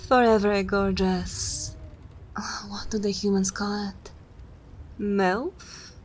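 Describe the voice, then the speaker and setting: A regal, centuries-old vampire mistress, acted out by a woman in an ASMR roleplay. She speaks slowly and imperiously, at times dropping near a whisper, over clear background noise. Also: medium pitch, fairly even intonation, lightly acted. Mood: defensive, haughty.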